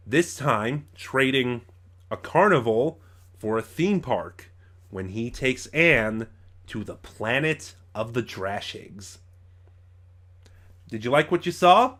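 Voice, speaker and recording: A man's voice: mid-range voice, a bit bright. Male review-channel host. Mid-range voice, informal and opinionated, wanders and corrects himself as he goes. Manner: rambling, self-correcting, opinionated. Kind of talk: informal spoken audio drama review.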